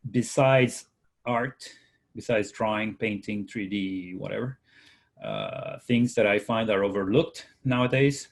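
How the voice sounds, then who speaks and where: A man in his forties who grew up in Latin America with Spanish as his first language, teaching an online workshop in a relaxed, rambling way with many fillers and restarts. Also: medium pitch. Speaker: workshop instructor, concept artist. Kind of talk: casual online workshop talk.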